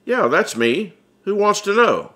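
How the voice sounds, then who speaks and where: Man voicing a hearty, high-pitched working guy. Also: big pitch swings, measured pace, sharp tone, heavily acted. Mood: puzzled, surprised. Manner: folksy, eager, plain-spoken. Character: hearty working-class man, friendly, awed by old friend. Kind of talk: audiobook character dialogue.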